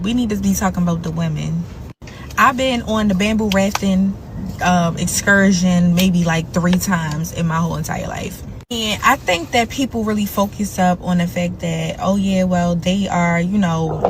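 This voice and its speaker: A woman's voice: lowish voice, noisy background. A woman speaking frankly and at length, giving her opinion in a commentary video. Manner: frank, opinionated, talks it through.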